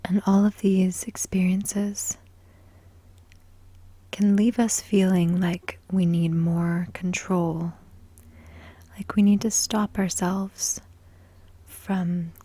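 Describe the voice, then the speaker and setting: A woman guiding a sleep hypnosis in ASMR style, soft, breathy and whispery, soothing and measured, with long pauses between short phrases. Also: on the low side, flat delivery, no room sound. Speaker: hypnosis and meditation guide.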